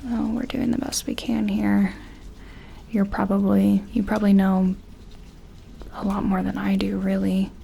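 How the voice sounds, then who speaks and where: Female ASMR roleplayer as a gentle, careful sci-fi examiner: unhurried, mellow, half-whispered, reassuring, with faint noise behind. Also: mid-range voice, flat delivery. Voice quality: soft, breathy, often dropping to a whisper. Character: gentle, careful woman scientist examining an alien.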